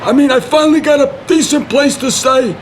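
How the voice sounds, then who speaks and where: A male actor plays a good-hearted construction worker with a New York accent and a strikingly high voice for a man. He rambles earnestly and stays unfazed, as in an audio play. Light street noise sits behind him. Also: brisk. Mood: upbeat. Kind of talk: scripted audio play dialogue.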